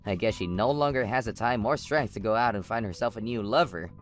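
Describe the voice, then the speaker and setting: A woman voicing a betrayed young husband and father who narrates an animated story, indignant in the arguments and matter-of-fact when recounting. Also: rumbling low, a little fast, soft background music.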